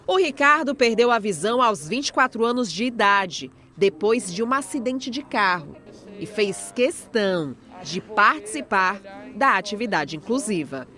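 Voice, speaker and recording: A woman's voice: a little high, pushed and bright. A Brazilian woman reading scripted news narration as a reporter.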